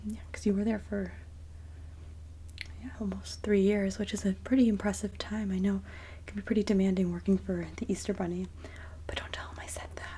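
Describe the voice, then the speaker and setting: A woman speaking softly and breathily, often near a whisper, in a gentle, polite ASMR roleplay as a kindly Mrs. Claus. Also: mid-range voice, little pitch movement, fairly quick, husky. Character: kindly Mrs. Claus interviewing a job applicant. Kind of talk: soft-spoken ASMR roleplay interview.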